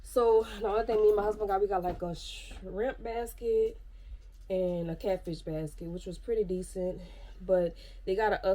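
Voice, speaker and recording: A woman's voice: on the low side, mellow. Stuffy-nosed woman chatting to her phone. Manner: chatty, rambling, addresses viewers as y'all. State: stuffy nose from a cold. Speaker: vlogger. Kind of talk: casual vlog chat. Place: at home.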